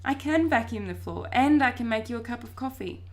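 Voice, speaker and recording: A woman voicing a frantic, indignant young boy in a storybook, protesting loudly and emphatically. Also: fairly high pitch, animated, brisk, lightly acted. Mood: eager, insistent.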